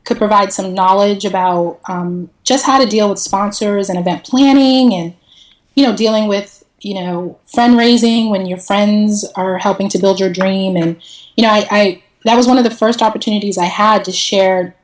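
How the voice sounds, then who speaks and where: A woman's voice: mid-range voice, moderate pace. A woman speaking as a podcast guest, telling stories casually in a joking, candid way. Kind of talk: casual conversational storytelling. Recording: roomy echo, faint noise.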